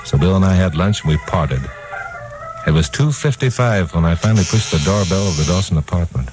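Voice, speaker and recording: A hardboiled radio detective with a very low voice. He tells his story in wry, slangy lines and mocks himself as he goes. It is a 1950s radio crime drama with music. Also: expressive, fairly quick, low-quality recording. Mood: wry narration. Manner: wry, slangy, self-mocking. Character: hardboiled wisecracking radio detective hero, narrating his own case.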